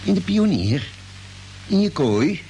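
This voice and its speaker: Radio play spaceship doctor: concerned, probing, lively swooping intonation with thoughtful hesitations, slightly noisy vintage recording. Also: male voice, high-pitched, exaggerated voice. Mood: reassuring. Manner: inquisitive, hesitant, with musing pauses. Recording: noisy, humming old radio drama recording.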